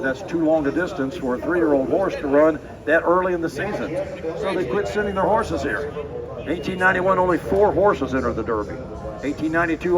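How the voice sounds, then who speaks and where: A man, hoarse from a cold, giving a folksy guided walking tour and repeating his key points. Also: a little high. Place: outdoors at a racetrack with a tour group. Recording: distant voice, outdoor noise.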